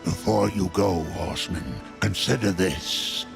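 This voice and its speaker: A man's voice: low voice, fairly even intonation, measured pace, gravelly, over music, exaggerated voice. A man playing a sly, ancient otherworldly merchant in a video game, unctuous and theatrical in manner. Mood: sly, ominous. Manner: unctuous, theatrical, amused, chuckling. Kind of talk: video game character acting.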